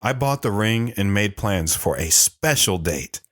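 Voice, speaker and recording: A man's voice: fairly low pitch, lively intonation, unhurried, fairly bright tone, no echo, clean studio sound. An actor playing an older, reflective, world-weary man telling his life story in an audio drama.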